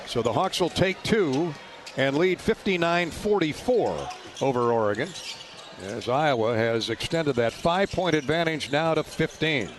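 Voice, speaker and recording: A man's voice: on the high side, expressive, measured pace. A man doing live radio play-by-play of a basketball game in a folksy, colorful way. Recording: loud arena noise behind courtside radio call. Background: ball bounces and gym ambience.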